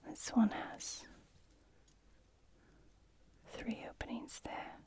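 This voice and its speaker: A woman speaking in a soft, breathy whisper, gently role-playing an attentive shop assistant for ASMR. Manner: gentle, unhurried, attentive to the customer.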